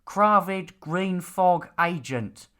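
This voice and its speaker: A man's voice: sits high, lively intonation, slow, fairly bright tone, voice pushed up, full character voice. A man voicing a stern, exasperated, lecturing father in a fantasy audiobook. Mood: matter-of-fact. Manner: lecturing, bossy. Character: stern, nagging, exasperated father of a demon slayer. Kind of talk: audiobook character dialogue.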